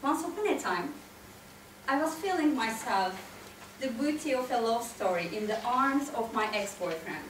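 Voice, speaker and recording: High-pitched Turkish woman in an echoey room. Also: moderate pace, far from the mic. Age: 30s. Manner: expressive, addresses listeners directly. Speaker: club speaker, tango dancer. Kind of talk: prepared storytelling speech to an audience. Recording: echoey room from afar, faint noise.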